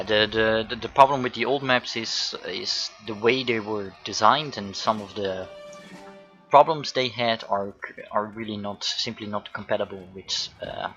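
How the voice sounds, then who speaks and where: A man's voice: fairly low pitch, bright, forceful tone, dull audio, a little hiss or hum. A man with a Dutch accent, a game developer at home answering stream chat questions while he plays. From Netherlands. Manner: answers chat questions between gameplay remarks. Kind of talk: casual stream Q&A while playing.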